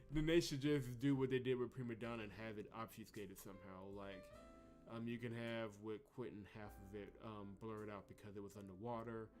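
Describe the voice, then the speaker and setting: A man with a fairly low voice does playthrough commentary in a casual, animated way, as if thinking out loud. Game music plays clearly behind him. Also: a little fast. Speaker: gamer doing a blind demo playthrough. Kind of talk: game commentary mixed with reading dialogue aloud. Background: video game music.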